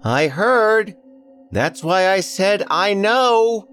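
A man putting on a calm, wry mother. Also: sits high, extremely slow, faint music behind, voice pushed up, exaggerated voice. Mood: calm, dry.

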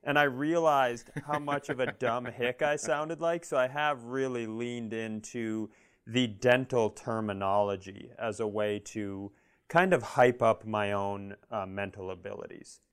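A man's voice: mid-range voice, unhurried, fairly bright tone, clean tone. A man co-hosting a comedy podcast, telling stories in casual chat with self-deprecating, dry humor. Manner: self-deprecating, dryly joking. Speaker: podcast co-host. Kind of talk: casual comic chat and storytelling.

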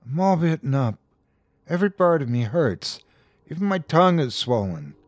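A man's voice: on the high side, big pitch swings, normal speed, no room sound, studio quality, pitched above the performer's own voice. A man voicing a weak, frightened young man who pleads in an exhausted, wavering voice in an audiobook story. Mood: weak, suffering. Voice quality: faint, wavering, sob-like.

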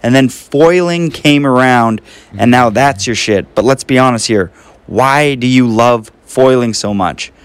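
A man's voice: mid-range voice, measured pace, smooth, dry recording. A man casually putting a question to a podcast guest in an informal way.

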